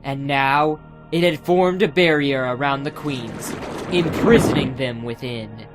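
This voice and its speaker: A man's voice: mid-range voice, unhurried. A man giving a scripted, dramatic narration of a fantasy audiobook. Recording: music behind the voice.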